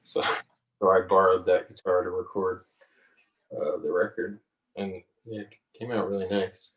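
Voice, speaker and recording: A low-voiced man chats hesitantly about songwriting, laughing easily between his thoughts. The sound comes over a video call. It is roomy and a little distant from the mic. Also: level tone, unhurried, fairly bright tone, dull audio. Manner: hesitant, laid-back, laughs often. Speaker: singer-songwriter guest. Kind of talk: relaxed conversational interview.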